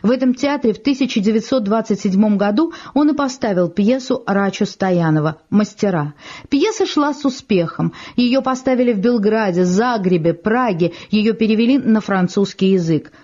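A woman announcer introduces a radio theatre production. Her voice is dark and relaxed at a medium pitch, and her delivery is measured and articulate. The archival recording is muffled. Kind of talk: scripted introduction to a radio play.

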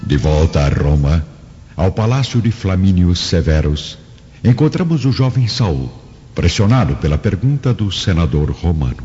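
A man's voice: sits low, very animated, normal speed. A man narrating a radio drama in a scripted, dramatic style. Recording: muffled, long reverb, music behind.

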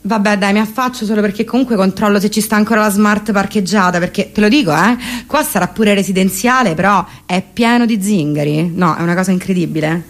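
A woman's voice: mid-range voice, fast talker, few pauses, voice pushed down, close to an everyday voice. A woman playing a snobbish, exasperated young Roman woman, gossiping and complaining melodramatically in a comic one-sided phone monologue. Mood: exasperated, melodramatic. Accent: Roman Italian.

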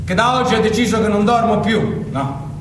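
Actor as very high-pitched, needy agitated son. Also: male voice, moderate pace, close to an everyday voice. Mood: nagging, brooding. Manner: whiny, nagging, repetitive. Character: needy, agitated, obsessive son pestering his mother. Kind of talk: stage drama monologue. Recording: very echoey stage, distant mic, background noise.

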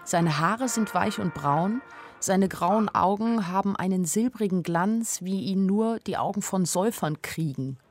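A woman's voice: mid-range voice, lively intonation, measured pace, darkish tone, soft background music. An actress in a radio play voicing an observant young woman who tells her story in an intimate, matter-of-fact way. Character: observant, plain-spoken young woman narrating her life in 1930s Germany. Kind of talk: first-person radio play narration.

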